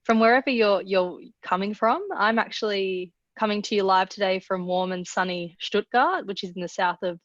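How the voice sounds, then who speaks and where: A woman's voice: medium pitch, moderate pace, few pauses, no room sound. An Australian woman presents on a webinar in a mid-range voice, upbeat and conversational with small restarts. The sound is dull and dry, like a web-call line. She speaks as a product manager guest.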